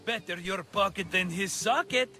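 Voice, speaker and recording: An actor playing a scheming, flattering, tight-fisted merchant in a video game, with a theatrical, wheedling manner. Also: male voice, high voice, normal speed, faint music behind. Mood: coaxing, teasing. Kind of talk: video game cutscene acting.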